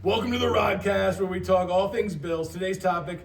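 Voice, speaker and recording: An actor playing a cocky, smirking ex-boyfriend and rowdy football superfan in a comedy table read, with a teasing, smug way of talking. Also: male voice, high-pitched, moderate pace, vocal fry. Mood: mock podcast hosting. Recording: noisy, roomy distant mic.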